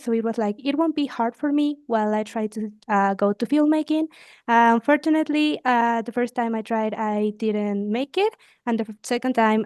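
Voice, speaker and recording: A woman's voice: on the high side, darkish tone, clean tone, no echo. Young Mexican woman, dry close sound. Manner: chatty, with hesitations. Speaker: student. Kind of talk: exam conversation.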